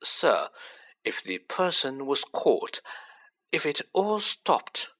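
A nervous teenage orphan boy in a storybook, voiced by a man, polite and hesitant. His speech is earnest and deferential, with a forward, bright tone. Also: medium pitch, sing-song, takes it slowly, pitched above the performer's own voice, full character voice. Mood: tense, hopeful.

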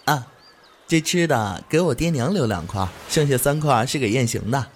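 A man's voice: medium pitch, expressive, normal speed, background noise, lightly acted. Guy doing a cheerful young dude, chatty, voice bounces all over. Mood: eager. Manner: bright, chatty, laughs easily. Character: cheerful, eager young man, good-hearted.

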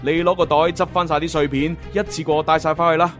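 An actor playing a young, brisk, dutiful time-travel agent in a radio drama. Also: male voice, fairly high pitch, little pitch movement, a bit bright, lightly acted. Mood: brisk, commanding. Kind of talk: Cantonese radio drama acting. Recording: music playing behind the voice.

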